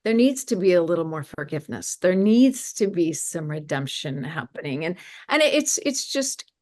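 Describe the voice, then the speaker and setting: A woman's voice: lowish voice, sing-song, normal speed, a little mellow. A woman speaking earnestly and enthusiastically as an interview guest promoting her organization's work. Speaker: guest, media ministry representative.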